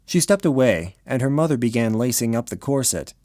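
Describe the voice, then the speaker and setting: A man's voice: mid-range voice, animated, fairly quick, dark tone, no echo. A man reading scripted story narration for an audio drama.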